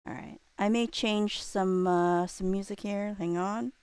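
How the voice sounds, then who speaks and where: A woman's voice: medium pitch, steady tone, unhurried, a little mellow, smooth, no room sound. A woman hosting a livestream in casual chat, thinking aloud in a scattered, playful way. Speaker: live streamer host.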